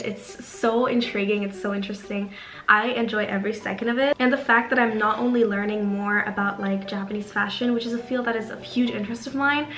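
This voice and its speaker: A woman's voice: mid-range voice, a bit bright. A young woman whose first language is German, chatting casually to camera in a vlog, full of fillers. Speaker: YouTuber. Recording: roomy sound with faint music.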